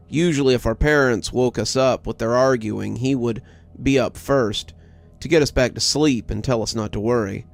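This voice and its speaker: A man's voice: mid-range voice, creaky. A man reading a scripted first-person horror story as its narrator. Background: music.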